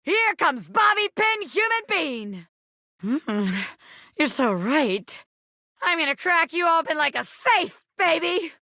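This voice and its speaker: A scrappy little ex-gangster turned showgirl for a video game. The voice is high and forceful, swinging widely in pitch with cocky, streetwise swagger. It is recorded very dry and close. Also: very animated, takes it slowly, no room sound, full character voice. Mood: amazed, excited, then flirty, cocky. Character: brash, scrappy bobby pin, ex-gangster turned flirty magician showgirl. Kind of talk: animated video game character acting.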